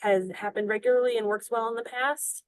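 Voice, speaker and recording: A woman's voice: on the high side, steady tone, fairly quick, no room sound, rough recording. A woman presenting for the first time, asking a question tentatively and politely on a video call.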